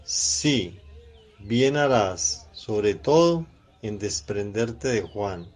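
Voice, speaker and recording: An actor playing a cautious, disapproving Pharisee in a Spanish radio drama, measured and admonishing. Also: male voice, lowish voice, lively intonation, full character voice. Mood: cautious disapproval. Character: cautious, disapproving male Pharisee elder named Joseph.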